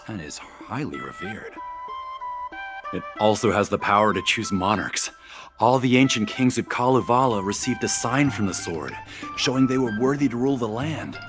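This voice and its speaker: A man plays an eager, courteous envoy from a faraway world in a video game. His fairly low voice swings expressively with earnest pride, over clearly audible music. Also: natural voice. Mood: reverent storytelling. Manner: earnest, eager, formally polite. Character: earnest, proud male envoy from a distant planet. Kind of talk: scripted video game dialogue.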